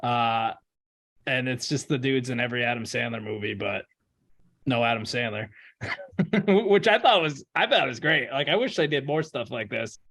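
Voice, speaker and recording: A man's voice: medium pitch, animated, normal speed, fairly bright tone. A man co-hosting a podcast, chatting about movies in a laid-back, sweary way. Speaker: podcast co-host. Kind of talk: casual chat about movies.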